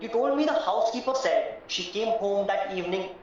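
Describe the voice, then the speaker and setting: A man acting in an audio play as a cautious, polite solicitor who asks careful, formal questions with gentle doubt. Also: high-pitched, animated, a little fast. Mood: measured, probing. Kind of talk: stage-style audio drama acting. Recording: very echoey, muffled, distant, music underneath.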